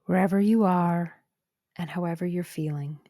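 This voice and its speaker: A woman narrating a guided meditation in a gentle, calm and soothing way, with soft breathy phrase endings. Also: on the low side, moderate pace, dry recording, clean studio sound. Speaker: psychologist guiding a meditation.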